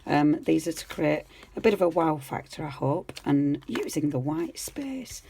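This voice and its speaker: A woman with a croaky voice, chatting easily through a hands-on craft tutorial as she works. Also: low-pitched, big pitch swings, a little slow, dark tone, a little hiss or hum. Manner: chatty, easygoing, talks while working. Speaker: craft demonstrator.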